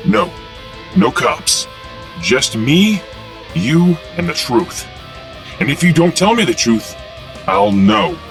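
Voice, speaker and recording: A man's voice: high voice, big pitch swings, takes it slowly, creaky, long pauses, faint music behind, full character voice. A man playing a cocky, menacing young superhero vigilante in an audio drama, taunting and threatening.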